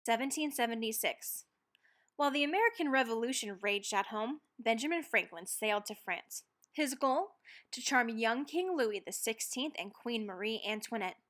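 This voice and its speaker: A woman reading a children's book aloud as narrator, expressively and dramatically, leaning on key words and pausing for effect. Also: a little high, lively intonation, fairly bright tone. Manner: dramatic, with stressed words and pauses. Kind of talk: expressive scripted storybook reading.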